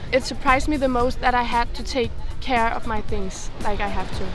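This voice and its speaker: Young Danish woman, high voice. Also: moderate pace, music in the background. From Denmark. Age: young adult. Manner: casual, lots of "like". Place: outdoors on a beach. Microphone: lapel mic.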